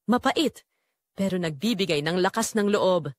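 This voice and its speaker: A woman narrating as a guilt-ridden, secretly longing mother in a scripted audio drama, with a measured, confessional delivery. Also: fairly low pitch, expressive, no room sound, natural voice. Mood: longing, resolved.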